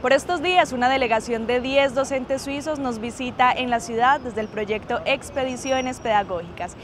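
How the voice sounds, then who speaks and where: High-voiced young Colombian woman presenting news. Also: moderate pace. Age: 20s. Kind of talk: scripted news presentation to camera. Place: outdoors in a city plaza. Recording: clear outdoor city and traffic noise.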